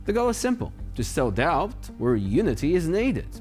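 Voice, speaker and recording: A man's voice: a little high, huge pitch swings, moderate pace, darkish tone, soft background music. A man hosting a news show, delivering scripted satirical commentary in a sardonic, mocking manner.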